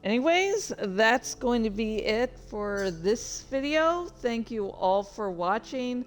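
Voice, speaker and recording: A woman's voice: fairly high pitch, sing-song, takes it slowly, soft background music. A woman hosting a casual livestream, rambling and narrating her own actions with exclamations as she plays a game. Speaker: livestreamer and host. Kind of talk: casual livestream chat while playing a game.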